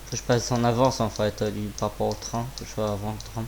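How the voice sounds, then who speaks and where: A slightly hoarse man with a sore throat giving casual, informal gameplay commentary, muttering to himself and swearing. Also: on the low side, little pitch movement, fast, a little mellow, a little hiss or hum. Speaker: gaming video creator.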